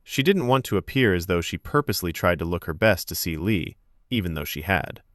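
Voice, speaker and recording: Deep-voiced man narrating fiction. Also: expressive, brisk, no echo, clean studio sound. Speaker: narrator of a serialized fiction podcast.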